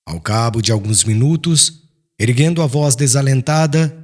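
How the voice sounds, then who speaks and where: A man with a Brazilian accent narrating an audiobook in a solemn, measured, dramatic literary style. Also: medium pitch, a little mellow.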